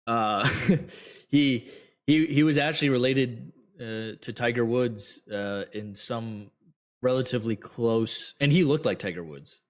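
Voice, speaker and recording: A man hosting a trading show, giving blunt, rambling advice to viewers with many fillers, his voice getting hoarse. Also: mid-range voice, animated, slow pace. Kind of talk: casual advice talk to viewers.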